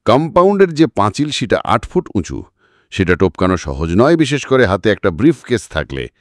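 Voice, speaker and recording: Man voicing an emphatic, confident detective, swooping pitch. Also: big pitch swings, normal speed, no echo, clean studio sound. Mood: methodical. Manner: methodical, emphatic, accusing. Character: confident male private detective laying out a murder case. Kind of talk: dramatic audiobook character reading.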